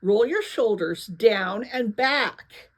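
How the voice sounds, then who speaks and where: Storybook role: composed, serious teen athlete boy, blunt and unruffled, read by a woman. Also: a little high. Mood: instructing. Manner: slow, even, unruffled delivery. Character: calm, serious, blunt athletic teenage boy, exasperating coach.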